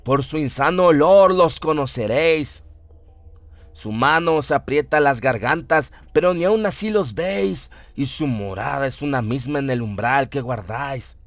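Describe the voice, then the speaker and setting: A man giving a solemn, theatrical narration of a horror radio drama, with deliberate phrasing. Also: medium pitch, no echo. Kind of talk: dramatic scripted horror narration, audiobook-like reading. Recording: music under the voice. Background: atmospheric music bed.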